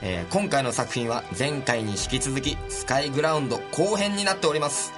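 A man's voice: medium pitch, fairly quick, music in the background. A man hosting a radio show, presenting a segment in a scripted announcer style. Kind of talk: scripted radio corner introduction.

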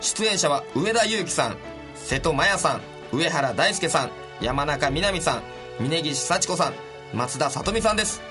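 Male radio presenter, scripted segment intros, lively intonation, music bed underneath. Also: a little high, moderate pace. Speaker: radio show host.

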